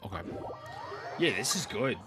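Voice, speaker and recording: A man's voice: mid-range voice, expressive, normal speed, music in the background. A young man streaming his casual, slangy reactions to a game, often repeating his phrases.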